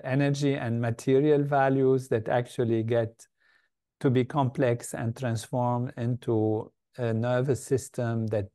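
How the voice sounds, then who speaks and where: Older man from Lebanon, interview guest, thoughtful and measured, warm low-key tone. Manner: measured, thoughtful, with frequent pauses and fillers. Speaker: guest, meditation organization leader. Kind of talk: reflective interview answers.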